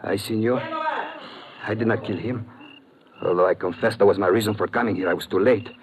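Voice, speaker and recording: Guy doing a stocky radio thriller gunman, courteous but threatening, lowish voice, crowd noise behind. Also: fairly even intonation, brisk, lightly acted, rough recording. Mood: coldly formal. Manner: formal, clipped commands. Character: short, heavy foreign gunman, menacing but formally courteous. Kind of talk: 1940s-50s radio drama acting.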